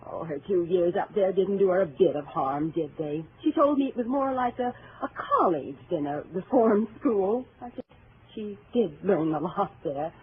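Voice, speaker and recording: A woman's voice: fairly low pitch, animated, moderate pace, close to an everyday voice. Woman playing nosy, lilting neighbour lady, tinny radio. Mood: gossipy. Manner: gossipy, hesitant, rambling. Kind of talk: vintage radio drama acting. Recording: tinny old radio recording, faint hiss.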